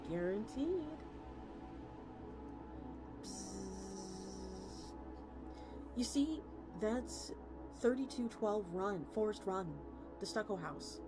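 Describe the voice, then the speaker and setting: A woman livestreamer reading a story aloud with acted dialogue and chatting casually with her viewers. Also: a little high. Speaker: VTuber streamer. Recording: music playing behind the voice. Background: music.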